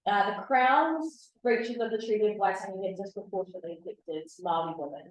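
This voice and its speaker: A woman giving an academic guest lecture, measured and explanatory with frequent ums. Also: medium pitch, darkish tone. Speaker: guest speaker, researcher. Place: lecture room. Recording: very echoey room, far from the mic.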